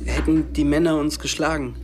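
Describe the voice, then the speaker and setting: A man's voice: on the high side, steady tone, fairly quick, close to an everyday voice. A man playing a polite, earnest Pakistani teenage boy in a radio drama.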